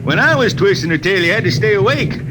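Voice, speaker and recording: A poor old blind space traveler in a vintage radio play, folksy and wistful but stubborn. His voice sits high, and the old recording is heavy with noise. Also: expressive, fast. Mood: wistful, easygoing. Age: older. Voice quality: folksy, plain-spoken. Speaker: actor in a radio drama. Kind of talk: 1950s radio drama acting.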